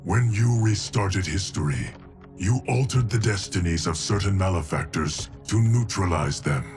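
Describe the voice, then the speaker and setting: A man playing a stoic, dutiful ancient guardian of time in a video game, speaking formally and deliberately. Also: low voice, lively intonation, darkish tone, soft background music. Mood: grave warning. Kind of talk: cinematic video game drama acting.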